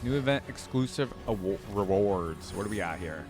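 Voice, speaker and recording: A man's voice: lowish voice, expressive, moderate pace. A man giving casual live reaction commentary, thinking aloud in short approvals and puzzled questions. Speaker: reaction video host. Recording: music playing behind the voice. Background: game trailer music and audio.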